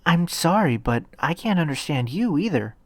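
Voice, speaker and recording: Frail, confused young woman played by a male reader, soft, hesitant, polite, slightly high, audiobook dialogue. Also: sing-song, moderate pace, dry recording. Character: weak, grateful, confused young woman with amnesia.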